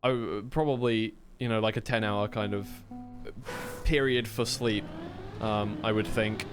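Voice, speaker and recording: A man's voice: lowish voice, fairly even intonation, unhurried, music in the background. A man commentating live on an esports racing match, casually rambling and correcting himself between bursts of play-by-play. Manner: rambling, self-correcting asides.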